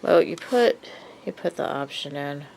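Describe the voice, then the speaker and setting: A woman's voice: low-pitched, expressive, unhurried, gravelly, a little mellow, a little hiss or hum, rough recording. A woman with a cold, sniffly and hesitant, talking casually in a show-and-tell vlog with lots of ums and uhs. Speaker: vlogger.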